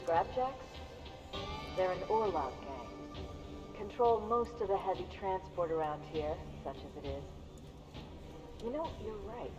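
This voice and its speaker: A man's voice: high voice, expressive, a little slow, long pauses, over music. An actor playing a chatty male bartender in a video game, casual, teasing and colloquial. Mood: informative. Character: chatty, cheeky small-time bartender in a rough settlement. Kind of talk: video game cutscene acting. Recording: muffled, long echoing reverb.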